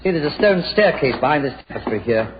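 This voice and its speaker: Polite, well-bred lord, lively intonation. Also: male voice, on the high side, fast talker. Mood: courteous. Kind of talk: 1940s radio acting. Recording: noisy, muffled 1940s radio recording.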